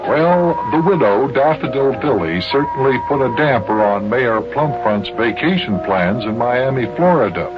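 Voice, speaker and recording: A man hosting and narrating a 1950s children's radio story, with measured, deliberate phrasing and pauses. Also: mid-range voice, lively intonation, loud music behind the voice. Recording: muffled, noisy old radio recording.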